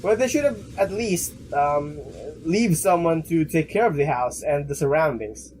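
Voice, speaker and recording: A young man doing a let's play, haltingly reading game text aloud with casual commentary. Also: fairly high pitch, a little mellow, slight background noise, poor audio. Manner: halting, stumbles while reading.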